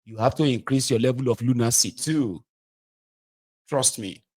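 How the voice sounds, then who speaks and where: Emphatic Nigerian man in his forties. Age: 40s. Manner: emphatic, rhetorical, swings between loud outbursts and low asides. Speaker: political commentator. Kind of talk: impassioned political monologue.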